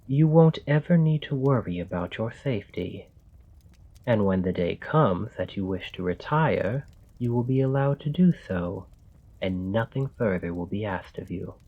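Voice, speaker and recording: A man playing a calm, calculating royal in an ASMR roleplay, speaking in a measured, formal and deliberate way. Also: fairly low pitch, husky, phone-quality sound. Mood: bargaining, earnest. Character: calm, calculating male royal bargaining with his assassin. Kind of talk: ASMR roleplay, scripted dramatic monologue.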